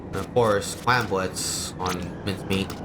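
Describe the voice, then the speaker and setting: A man's voice: deep voice, steady tone, slow pace. A man giving unscripted live game commentary in a rambling, opinionated way, explaining as he goes. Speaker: game streamer. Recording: clear background noise.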